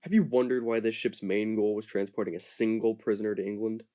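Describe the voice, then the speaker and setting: A man's voice: fairly low pitch, fairly even intonation, fairly quick, mellow, lightly acted. An actor playing a wary male ship captain in an amateur audio drama, firm and questioning. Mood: explaining. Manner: firm, interrogating.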